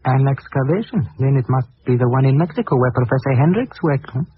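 A concerned, deferential attendant from an old radio serial. He speaks formally at a medium pitch, through narrow, tinny broadcast sound. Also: expressive, fairly quick, husky. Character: respectful, concerned male attendant of a lama. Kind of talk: 1940s radio acting.

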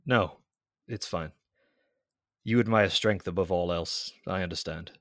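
Honour-bound officer in love. Low, dry, formal and restrained, brooding audio drama delivery. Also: male voice, a little slow, no echo. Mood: earnest, tender.

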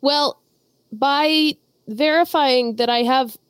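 A woman's voice: sits high, slow pace, a bit bright, clear, resonant tone, no room sound. A young woman co-hosting a podcast in casual, teasing banter while getting over a head cold. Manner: playful and teasing. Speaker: podcast co-host.

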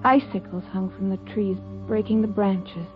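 A woman playing a frail, devoted young dying wife, soft and wistful, in 1950s radio drama style. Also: mid-range voice, normal speed, lightly acted. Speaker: patient character. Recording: tinny old radio recording with music.

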